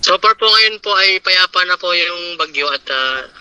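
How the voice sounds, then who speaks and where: A man's voice: sits high, lively intonation, moderate pace, bright, forceful tone, clear, resonant tone, poor audio. A man with a Filipino accent giving polite, respectful eyewitness answers in a live interview over a remote call. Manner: respectful, deferential.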